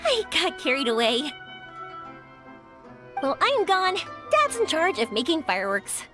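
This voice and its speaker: A cheerful young fireworks maker in a video game. The voice sits very high and swings with animated pitch. The delivery is warm-hearted, chatty and excitable, over soft music. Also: female voice, a little slow, heavily acted. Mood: bubbly, chatty. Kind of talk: English video game voice acting. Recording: music behind the voice.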